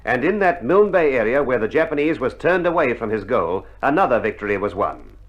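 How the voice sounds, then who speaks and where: A man's voice: mid-range voice, very animated, fairly quick. A man giving solemn, formal scripted narration for a war documentary. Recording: muffled 1960s vinyl record.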